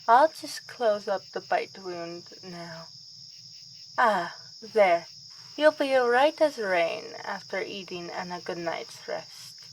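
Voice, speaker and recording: A woman's voice: mid-range voice, a little hiss or hum, low-quality recording. A woman playing a young vampire princess in an ASMR roleplay, with formal, theatrical, teasingly menacing speech. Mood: pleased, soothing. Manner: theatrical, formal, courtly phrasing.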